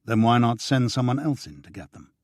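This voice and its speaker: A man's voice: medium pitch, animated, a little fast, no room sound, lightly acted. A man reading the lines of a dry, reluctant male journalist in an audiobook. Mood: wry.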